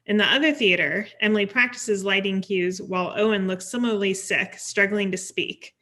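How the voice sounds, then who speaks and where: A woman's voice: medium pitch, normal speed, bright, forceful tone. A woman reading stage directions as scripted narration at a table read.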